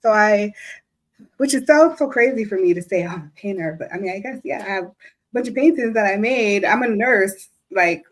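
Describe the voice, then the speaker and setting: A woman's voice: medium pitch, a little fast. A woman in her thirties from New York, a guest artist talking casually and modestly, laughing often. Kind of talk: casual interview.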